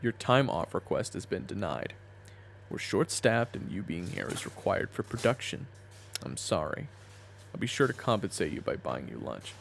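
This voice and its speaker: A man playing a weary boss with a buried temper in a dramatic audio roleplay, gravelly and brooding. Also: low voice, very animated, normal speed, echoey room, slight background noise, exaggerated voice. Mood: firm. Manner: brooding when narrating, exasperated in dialogue. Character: weary reformed hot-tempered man, a soft-pushover boss. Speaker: narrator and lead character.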